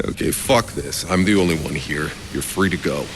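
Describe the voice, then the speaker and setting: A world-weary mercenary from a video game, with an extremely low voice. He is blunt, curt and unimpressed, speaking over faint background noise. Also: moderate pace. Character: gruff, terse, jaded superpowered mercenary, man. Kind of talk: video game cutscene acting.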